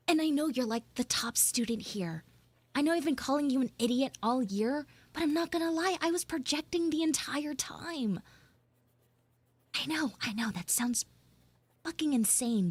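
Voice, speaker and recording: A woman live streaming an audio roleplay as a bossy, secretive tsundere schoolgirl, halting and teasing, slipping into hushed asides. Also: sits high, no room sound. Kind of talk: live in-character audio roleplay with chat banter.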